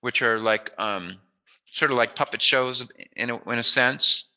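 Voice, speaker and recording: A man's voice: mid-range voice, measured pace, sharp tone, poor audio. A man hosting a casual interview, talking in an informal, meandering way. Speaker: interviewer, host.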